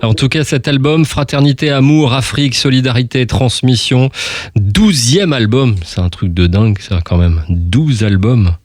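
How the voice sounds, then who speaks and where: A man's voice: medium pitch, moderate pace, darkish tone, dry recording, faint music behind. A man hosting a casual radio interview, informal and chatty, interjecting short agreements.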